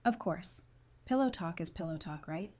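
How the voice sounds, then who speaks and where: A woman's voice: fairly low pitch, expressive, normal speed, dark tone. A woman playing a caring but hurt psychiatrist in an audio drama, speaking in a candid, conversational way. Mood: wry, candid. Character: caring psychiatrist woman, weary and hurt, wryly self-deprecating.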